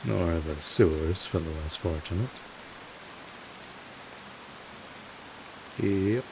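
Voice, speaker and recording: A man playing a world-weary, gruff but kindly vampire mentor in an audio roleplay, explaining things casually and unhurriedly to the listener. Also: low-pitched, normal speed. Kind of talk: roleplay audio drama, talking directly to the listener. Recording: steady hiss of rain-like noise.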